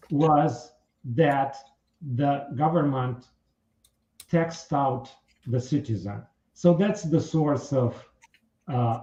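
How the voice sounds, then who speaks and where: A man with a Romanian accent speaking as a political candidate in a radio interview, in formal, earnest, halting phrases. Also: fairly high pitch, mellow. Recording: muffled, roomy radio interview sound.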